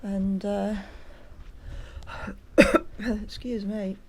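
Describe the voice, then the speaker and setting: A woman's voice: medium pitch, steady tone, extremely slow. A woman narrating a casual walking tour, chatty and hesitant with plenty of ums, at times out of breath from climbing. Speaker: walking vlogger. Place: outdoors on a hillside.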